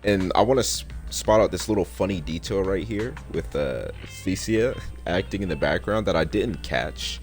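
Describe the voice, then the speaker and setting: A man's voice: low voice, lively intonation, normal speed, hardly any pauses, soft background music. A young man giving a casual, slangy game story recap and reaction in a laid-back, rambling way. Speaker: content creator.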